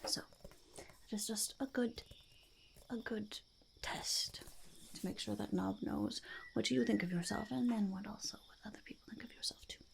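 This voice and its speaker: A woman's voice: medium pitch, moderate pace, gravelly, long pauses. A woman voicing a fussy, impatient goblin boss in a whispered ASMR roleplay, muttering and repeating words. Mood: probing, approving. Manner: speaks of self in third person, repeats words, mutters approval.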